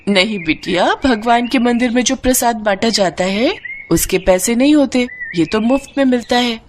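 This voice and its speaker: A woman voicing a kind woman who gently reassures a child in an animated moral story. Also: fairly high pitch, moderate pace, slight background noise, close to an everyday voice. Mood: kindly. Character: kind, gentle woman serving temple offerings.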